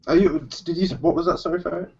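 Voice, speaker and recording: A man's voice: fairly high pitch, fairly even intonation, fast, vocal fry, mellow, breathy edge. A man hosting a casual group voice chat, swearing freely, laughing often and working himself into rants. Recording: dull lo-fi voice chat.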